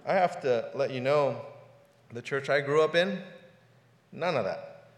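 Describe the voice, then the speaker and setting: A man's voice: mid-range voice, lively intonation, measured pace. A pastor in his forties preaching a sermon in a casual, conversational way, often repeating words as he talks. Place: church sanctuary. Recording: roomy hall reverb.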